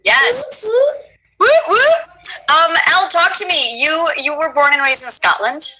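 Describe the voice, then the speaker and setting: A woman hosting a casual live stream, bubbly and excitable as she interviews her guest over a video call. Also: sits high, measured pace, low-quality recording. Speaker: streamer host.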